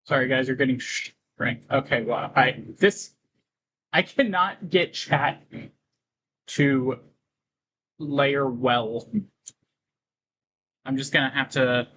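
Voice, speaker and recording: A man doing casual livestream commentary on a game, reading on-screen text aloud and halting to break into asides. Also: medium pitch, a bit bright, low-quality recording.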